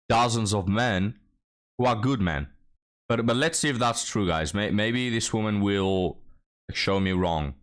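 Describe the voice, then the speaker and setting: A man's voice: fairly low pitch, a bit bright. A man hosting a reaction channel, commenting on a clip with sarcastic, mocking asides to his viewers. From Europe.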